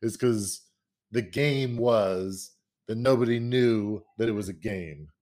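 A man co-hosting a casual podcast chat, rambling and animated, often jumping up into high put-on quotes. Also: slow. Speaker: podcast co-host.

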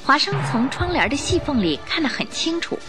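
A woman's voice: high-pitched, big pitch swings, brisk, over music. A woman narrating a radio drama as a scripted, dramatic story.

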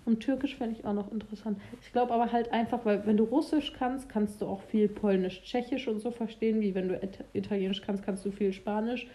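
A young woman talks casually and candidly, now and then restarting a phrase. Her voice is mellow and soft, at a middle pitch. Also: fairly even intonation. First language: German. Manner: chatty, candid, some halting restarts. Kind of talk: casual conversation.

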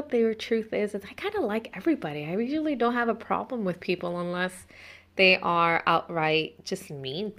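A woman's voice: on the low side, a bit bright. Chatty woman in her thirties. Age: 30s. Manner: chatty, meandering, self-interrupting. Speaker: host. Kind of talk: casual spiritual talk to camera.

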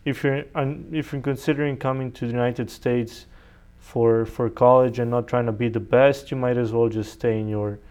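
Clear-voiced young man, native Serbian speaker. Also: mid-range voice, fairly even intonation, normal speed, darkish tone. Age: young adult. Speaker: interview guest, college student. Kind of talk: conversational interview answers.

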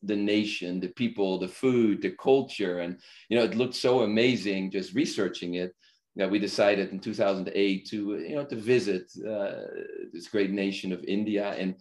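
Deep-voiced Dutch-born man telling his story. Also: normal speed. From Netherlands. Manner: relaxed, many fillers and restarts. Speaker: podcast guest, football club founder. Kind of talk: casual conversational storytelling.

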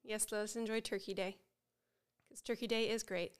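A woman co-hosting a casual podcast chat with a head cold, sounding nasal and froggy, chatty and quick to laugh, with many fillers. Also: mid-range voice, steady tone, clean tone. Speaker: podcast co-host.

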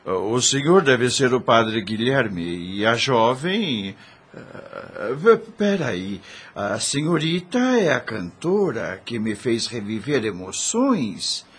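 An actor playing a courteous, flustered wealthy gentleman in a Brazilian radio soap, halting and excitable, with a Brazilian accent. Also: medium pitch, breathy edge, full character voice. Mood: delighted surprise.

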